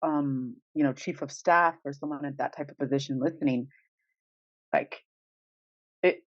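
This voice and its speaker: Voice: medium pitch, steady tone, normal speed, no room sound. A podcast co-host with a mid-range voice talks casually, working through ideas aloud in winding, lightly amused questions. The sound is dry and close, with a slightly dull top end. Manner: thinks aloud in rambling questions, chuckling lightly.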